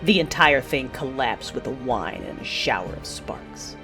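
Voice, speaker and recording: A woman playing a betrayed, commanding dark-side warrior in an audio drama, narrating in the first person with intense, deliberate menace. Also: a bass voice, animated, over music. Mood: tense, fierce.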